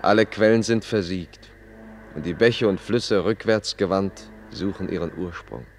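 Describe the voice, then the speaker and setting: Radio drama role: measured, enigmatic poet escort, urging and polite, lower register, strong echo. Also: male voice, a little hiss or hum. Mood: solemn, urging. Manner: formal, measured, solemn. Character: male poet-guide Antonio, courteous, cryptic, urging his companion onward. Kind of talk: German radio play acting. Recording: echoey hall reverb, faint music.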